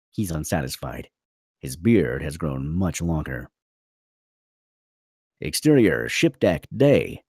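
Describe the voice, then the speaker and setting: A man's voice: deep voice, darkish tone, husky, no echo, clean studio sound. A man reading screenplay stage directions as the narrator of a table read.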